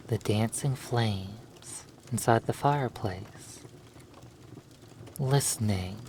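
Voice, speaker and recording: A man narrating a hypnosis roleplay in a soothing, lulling manner, leaving long pauses between phrases. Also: fairly low pitch, darkish tone, a little hiss or hum.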